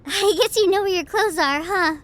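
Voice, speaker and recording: A woman playing an emotional, self-doubting young best friend in a roleplay audio drama, speaking in a soft, halting, vulnerable way. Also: very high voice. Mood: tender, caring. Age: young adult.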